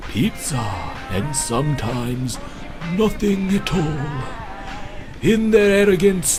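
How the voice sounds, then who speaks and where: A man's voice: sits high, a little mellow. A man playing a grandiose, morbid wasteland courier in a video game, speaking in florid, archaic, ominous phrases. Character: grandiose morbid wasteland courier who believes he is death's ferryman. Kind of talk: dramatic video game monologue.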